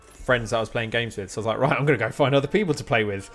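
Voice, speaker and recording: Male live streamer, mid-pitched, informal and rambling, encouraging, faint music behind. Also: very fast. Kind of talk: casual chat with stream viewers.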